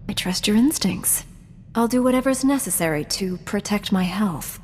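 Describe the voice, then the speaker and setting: An actress playing a young Jedi knight heroine in a video game, speaking in a composed, measured, dryly teasing way. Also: female voice, medium pitch, lively intonation, a little mellow, a little hiss or hum, lightly acted. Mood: wry, teasing. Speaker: video game player character. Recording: long hall reverb, game music underneath.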